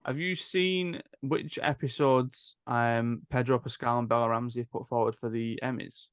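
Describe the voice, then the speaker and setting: A man's voice: mid-range voice, steady tone, moderate pace, clear, resonant tone, no echo. Man hosting, close dry sound. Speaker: podcast host. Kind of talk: casual chat.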